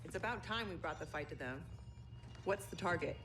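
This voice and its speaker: Middle-aged female general, American accent, lowish pitch, stern, authoritative, clipped, video game drama, prominent music. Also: lively intonation, fairly quick, a bit bright. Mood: firm, serious.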